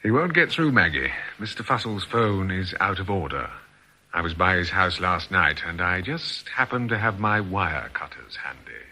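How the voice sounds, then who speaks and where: A calculating male gang leader in a radio play. His voice is fairly low yet crisp-edged and forceful, swinging freely in pitch as he lays things out with precise self-assurance. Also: big pitch swings, normal speed, full character voice. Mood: brisk, confident. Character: calm, calculating male gang boss planning a fraud. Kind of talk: radio drama acting.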